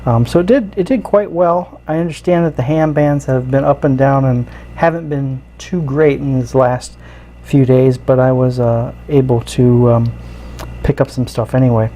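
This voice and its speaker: A man hosting a casual, unscripted hobby review, explaining features in a halting, easygoing way. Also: medium pitch, steady tone, mellow, poor audio. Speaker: radio hobbyist host reviewing gear. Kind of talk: casual unscripted product walkthrough.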